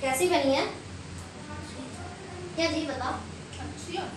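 A woman's voice: high voice, takes it slowly, echoey room, recorded from a distance, noisy background. A young woman vlogger chatting casually to camera in a small bedroom. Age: young adult. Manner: chatty, animated and giggly. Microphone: phone camera at arm's length.

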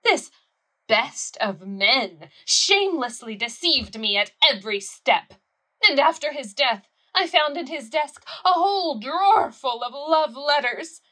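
A woman's voice: high voice, lively intonation, unhurried, pushed and bright, heavily acted. A woman playing a proud, wounded widow in an audio play comedy, speaking with haughty, melodramatic emphasis. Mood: wounded indignation. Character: proud, indignant young widow in mourning, dramatically wounded.

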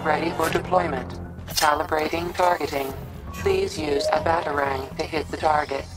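A calm female computer gives procedural system announcements in a video game. The voice is impersonal and very low for a woman, with loud music behind it. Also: moderate pace, poor audio. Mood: neutral, procedural. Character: calm female high-tech computer assistant.

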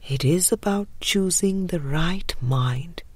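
A woman narrating a spiritual book from a script, measured and deliberate, with breathy trailing phrase endings. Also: fairly low pitch, animated, no echo. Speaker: audiobook narrator.

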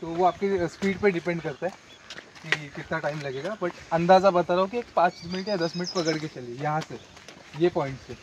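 A man's voice: a little high, a little fast, noisy background. A man vlogging outdoors, explaining directions step by step as he walks a rural trail. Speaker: travel vlogger. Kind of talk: casual guide to a trail.

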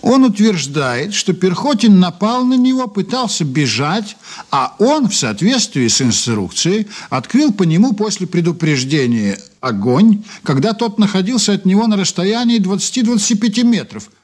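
A man's voice: high-pitched, very animated, normal speed. A man playing an insistent, ironic police investigator in a radio play, questioning firmly and politely with emphasis. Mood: confident, ironic. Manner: probing, polite but firm, emphatic. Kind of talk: radio play acting, interrogation.

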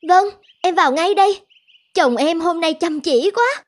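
An actress playing a gentle, supportive young wife in a cartoon, speaking sweetly and earnestly. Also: female voice, extremely high pitch, lively intonation, a little slow. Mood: surprised, pleased. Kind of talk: cartoon voice acting.

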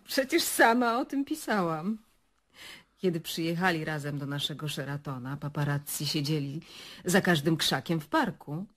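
An actress playing a nosy, persistent journalist in a radio play, husky and coaxing as she probes. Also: low voice, unhurried, pauses often. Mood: coy, probing. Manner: probing, coaxing.

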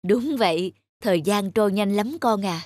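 A woman's voice: mid-range voice, moderate pace, no echo, close to an everyday voice. A woman voicing a patient, instructive mother rabbit in a children's cartoon. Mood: explaining. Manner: instructive, questioning. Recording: dry studio voice over soft music.

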